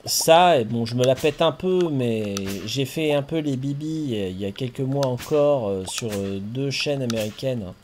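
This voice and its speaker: A man's voice: medium pitch, moderate pace, few pauses, darkish tone, slight background noise. A man from France chatting casually with a friend while playing a farming game, chatty and jokey with playful swearing. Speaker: streamer and host.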